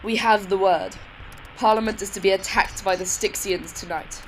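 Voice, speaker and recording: A woman's voice: medium pitch, fairly even intonation, normal speed, a little hiss or hum, close to an everyday voice, rough recording. A woman playing a decisive, dryly wry military commander in an audio drama. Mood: resolute. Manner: clipped, decisive, dry.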